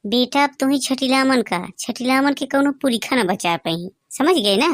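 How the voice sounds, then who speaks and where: A man playing a bullying village policeman in a comic cartoon, speaking sarcastically with a Bagheli accent and a pitched-up voice. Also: normal speed, fairly bright tone, no echo, studio quality. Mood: threatening. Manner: menacing, sarcastic, bossy. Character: bullying, threatening village policeman breaking up a party.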